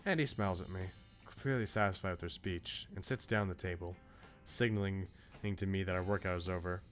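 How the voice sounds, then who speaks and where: A man's voice: deep voice, fairly quick. A man streaming a visual novel, reading aloud expressively and adding chatty asides. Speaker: game streamer. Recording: game music behind the voice. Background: video game music.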